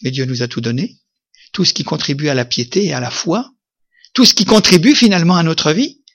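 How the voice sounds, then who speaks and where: A man's voice: expressive, fairly quick. French man preaching emphatically. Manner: emphatic preaching, rising to loud peaks then dropping low. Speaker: pastor. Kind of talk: sermon.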